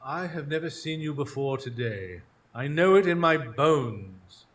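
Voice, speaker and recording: A man's voice: on the high side, lively intonation, measured pace. A man playing a sceptical, exasperated Victorian detective in a radio drama, speaking formally and dryly with probing questions. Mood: grave. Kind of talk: live radio drama acting.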